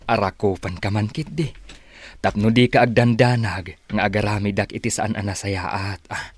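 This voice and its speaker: Man acting a low-voiced earnest lover. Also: moderate pace, a little hiss or hum, natural voice. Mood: tender. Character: earnest, soothing male lover. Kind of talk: romantic radio drama acting.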